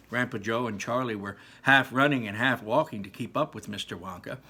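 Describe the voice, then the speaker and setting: Expressive male storyteller, lively voice. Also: medium pitch. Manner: expressive storytelling with small slips. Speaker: reader. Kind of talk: reading a children's book aloud.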